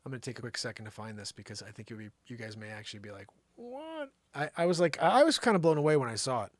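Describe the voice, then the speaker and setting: A man's voice: mid-range voice, animated, rapid-fire, no room sound. A man hosting a live show with casual, slangy, rambling comedic commentary, often thinking aloud. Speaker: live variety show host.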